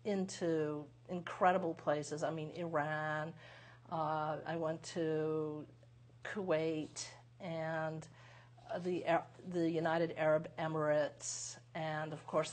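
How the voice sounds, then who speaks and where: Low-pitched woman in her sixties, guest in a studio interview, unhurried and reflective, pauses often. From United States (Massachusetts). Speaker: guest, lawyer and author. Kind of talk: conversational interview.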